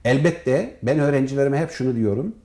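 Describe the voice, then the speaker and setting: An older man, a professor answering interview questions in formal wording, speaking in a measured way with frequent pauses. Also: medium pitch, warm tone. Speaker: professor, head of an animation department.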